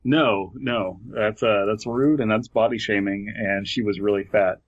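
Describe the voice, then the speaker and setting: Male podcast co-host, lowish pitch, deadpan and blunt casual banter, somewhat dull lo-fi mic. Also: a little slow, no echo. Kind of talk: casual comic chat.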